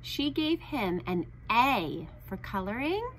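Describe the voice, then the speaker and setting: Woman narrator, middle-aged, slow animated storybook reading, faint room noise. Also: fairly high pitch, poor audio. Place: indoor school room.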